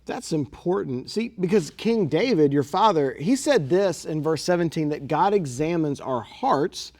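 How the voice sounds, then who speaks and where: A man hosting a comedic talk-show skit, enthusiastic and animated in his patter. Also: fairly high pitch, measured pace.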